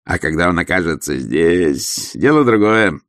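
A man voicing an arrogant, self-assured young nobleman who speaks calmly and coolly. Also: low-pitched, a little slow, no room sound, studio quality, full character voice. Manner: cool, self-assured, calm. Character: arrogant young nobleman, werewolf son, fitness-obsessed. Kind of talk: audiobook character voice.

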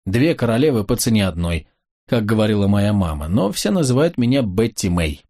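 A man's voice: on the low side, very animated, brisk, mellow. A man reading the lines of a shy, hesitant woman crime writer with an Alabama accent.

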